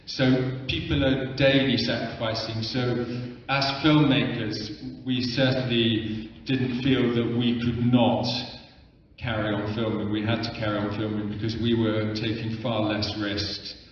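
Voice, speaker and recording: A man's voice: medium pitch, level tone, unhurried, a little hiss or hum. A man who grew up in Uganda, a filmmaker answering questions on stage, earnest and explaining at length. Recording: echoey hall from far off, dull lo-fi.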